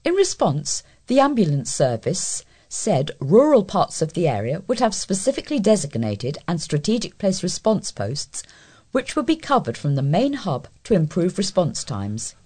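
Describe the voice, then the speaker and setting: Woman, British accent, husky, lively intonation, scripted local news reading, volunteer newsreader. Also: lowish voice, moderate pace.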